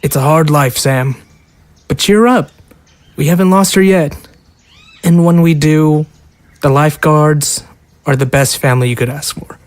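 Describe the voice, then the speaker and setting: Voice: mid-range voice, measured pace, long pauses, natural voice. An actor voicing a hardened, blunt recruiter for a survivor militia, matter-of-fact and persuasive. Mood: consoling. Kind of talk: amateur audio drama acting.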